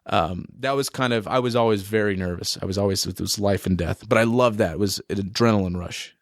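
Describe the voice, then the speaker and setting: A man's voice: on the low side, brisk, dry recording. Young male musician guest, loose rambly chat, dry close mic. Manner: rambling, fillers like "you know" and "man". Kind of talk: casual interview chat.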